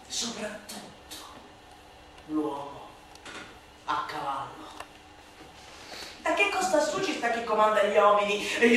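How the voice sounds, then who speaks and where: A woman giving a theatrical, mocking, declamatory recitation of a satirical text, swinging between hushed slow phrases and loud sing-song. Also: lowish voice. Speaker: stage performer reciting a literary monologue. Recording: very echoey room, distant mic, light noise.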